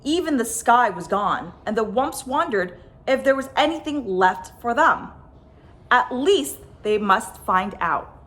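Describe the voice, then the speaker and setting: A woman reading a children's picture book aloud as a storyteller, with dramatic, expressive phrasing. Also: on the high side, a little slow. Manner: dramatic, stressed sound words and phrases. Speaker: storyteller, host of a story time.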